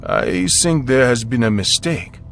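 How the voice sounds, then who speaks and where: An actor playing a tired, protective widowed father who speaks in a calm, measured way. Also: mid-range voice, creaky, soft background music, exaggerated voice. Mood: puzzled. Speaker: narrator's father. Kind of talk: story narration dialogue.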